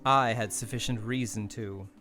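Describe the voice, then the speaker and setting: An actor in a radio play voicing a slick, crooked fake spirit medium with a commanding, measured way of talking. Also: male voice, mid-range voice, steady tone, bright, forceful tone, faint music behind, close to an everyday voice. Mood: wary, slick.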